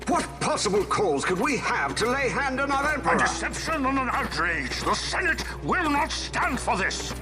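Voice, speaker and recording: Very high-pitched pompous senator. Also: male voice, sing-song, moderate pace, long pauses, over music. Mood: outraged. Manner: pompous, declamatory. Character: pompous, indignant male senator. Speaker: video game voice actor. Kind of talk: scripted game cutscene acting.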